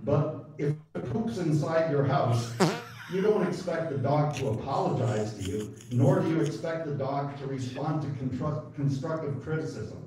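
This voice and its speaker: Male American public commenter, deliberate and formal, slightly high voice, heavily reverberant room. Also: lively intonation. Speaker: public commenter at a township meeting. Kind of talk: prepared public speech. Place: large indoor meeting room, from far off. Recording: echoey meeting hall, distant, crowd noise.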